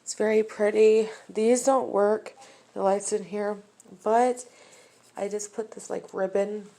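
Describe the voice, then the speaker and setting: A woman from Ohio chats through a home tour at an unhurried pace, her voice nasal from allergies. There is a gravelly edge to her tone, and she leans on frequent ums. It sounds like a phone held in her hand indoors. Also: mid-range voice, steady tone, warm tone. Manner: slow, filler-heavy chatter with many ums. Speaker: home vlogger. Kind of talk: casual home tour vlog.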